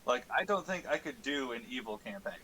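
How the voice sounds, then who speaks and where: A man's voice: mid-range voice, brisk, rough recording. A man in a casual, informal chat, recapping a tabletop game as a player and swearing lightly. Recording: steady audible background noise.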